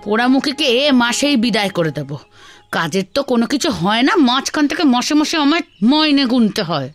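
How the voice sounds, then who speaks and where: A woman voicing a sharp-tongued, weary village wife in a cartoon, scolding and complaining theatrically. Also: on the high side, big pitch swings, a little fast, soft background music. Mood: irritated, scolding. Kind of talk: Bengali children's cartoon dialogue.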